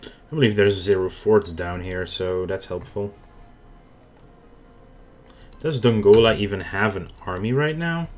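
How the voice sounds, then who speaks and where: A man's voice: on the low side, measured pace, long pauses, a little mellow, slight background noise. Dutch-accented man narrating his strategy game. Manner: thinking aloud, self-correcting. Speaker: gaming channel host. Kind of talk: casual let's play commentary.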